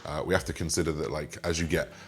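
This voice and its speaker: A man in his twenties with a slightly raspy voice, chatting casually as he answers viewer questions and rambles with fillers. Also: a bass voice, level tone, fast. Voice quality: raspy today. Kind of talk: casual Q and A monologue.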